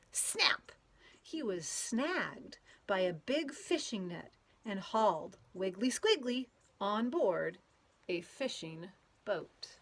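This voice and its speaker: Slow, animated woman reading a picture book aloud. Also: on the low side. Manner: expressive, drawn-out storytelling with playful sound words. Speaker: storybook read-aloud reader.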